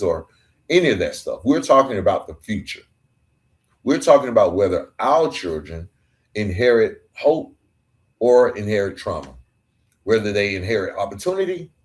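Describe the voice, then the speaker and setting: A man hosting a podcast, delivering an earnest reflective monologue that builds through emphatic repeated phrases. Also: lowish voice. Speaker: podcast host.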